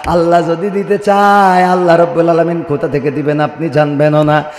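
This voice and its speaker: High-voiced young Bangladeshi preacher man, heavy reverb. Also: fairly even intonation, normal speed, faint music behind. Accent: Bangladeshi Bengali. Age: young adult. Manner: loud declamatory delivery slipping into singsong chant and asking the crowd for responses. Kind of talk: waz sermon with donation appeals and prayers. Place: stage at a religious gathering. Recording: long hall reverb from amplified sound system.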